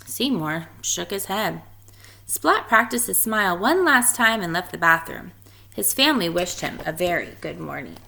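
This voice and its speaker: A woman reading a children's picture book aloud in an expressive storytelling style. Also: on the low side, normal speed, gravelly. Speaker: story reader.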